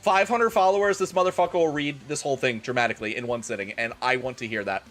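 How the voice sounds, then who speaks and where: A man's voice: medium pitch, fast talker, fairly bright tone, faint music behind. A man hosting a livestream, bantering crudely and jokingly between bits of theatrical fan-fiction reading. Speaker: livestream host.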